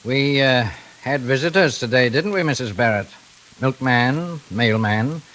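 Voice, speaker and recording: An actor playing a menacing intruder in a 1940s radio drama, mock-courteous, deliberate and quietly controlling. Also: male voice, mid-range voice, animated, low-quality recording. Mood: slyly pleasant. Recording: hissy old radio recording.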